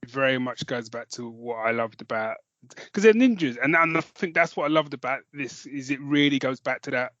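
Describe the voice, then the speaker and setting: A man's voice: mid-range voice, animated, a little fast, a bit bright, no echo. British man chatting casually, dry muffled sound. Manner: sweary, informal, enthusiastic. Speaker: podcast co-host. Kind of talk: casual chat about comics.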